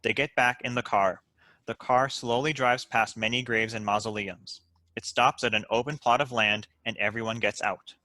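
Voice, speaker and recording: A man's voice: mid-range voice, steady tone, normal speed, pushed and bright, no room sound. A man reading out stage directions as the narrator of a scripted table read.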